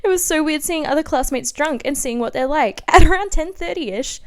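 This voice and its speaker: A woman in her twenties with an Australian accent hosts a podcast, reading her diary dramatically with animated, self-mocking asides. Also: high voice, normal speed. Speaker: podcast host.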